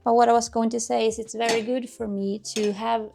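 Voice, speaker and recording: Chatty Swedish-accented woman, gravelly edge. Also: a little high, little pitch movement, fairly quick. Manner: chatty, searching for words, restarts sentences. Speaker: cleaning vlogger. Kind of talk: casual chatty talk to camera while cleaning. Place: home kitchen. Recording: music playing underneath. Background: dishes clinking, quiet music.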